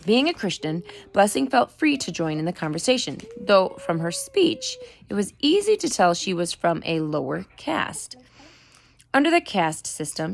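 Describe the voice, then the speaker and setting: A woman reading a book aloud in a deliberate storytelling manner, with an occasional stumble over the words. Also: fairly low pitch, vocal fry. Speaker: reader. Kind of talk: book read-aloud narration.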